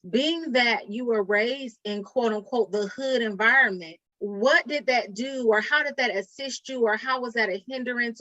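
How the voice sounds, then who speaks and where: A woman's voice: fairly high pitch, bright, forceful tone, dry recording. A woman hosting a podcast interview, affirming her guest and asking long, layered questions. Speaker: podcast host. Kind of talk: casual interview. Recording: dry, dull lo-fi audio.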